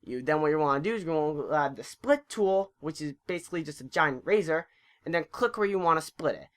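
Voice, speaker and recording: Tutorial narrator for a screen recording: informal, chatty, mid-pitched voice, a little stumbling in delivery. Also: lively intonation, fairly quick.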